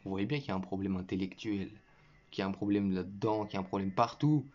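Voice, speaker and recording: Guy ranting at someone about religion, low voice, kinda muffled lo-fi sound, lecturing and mocking. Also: fast, a little mellow. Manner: scornful, lecturing, addresses listener directly as "tu"; bursts into mocking laughter. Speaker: online religious commentator. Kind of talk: combative reaction monologue to viewers. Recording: muffled lo-fi recording.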